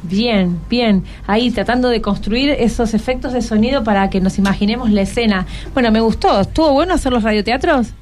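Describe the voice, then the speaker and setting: Argentine lady radio host, rioplatense accent, kinda high voice, chatty interview, encouraging, lots of questions. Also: a little fast, hardly any pauses, a little mellow, slight background noise. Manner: encouraging, asks many questions.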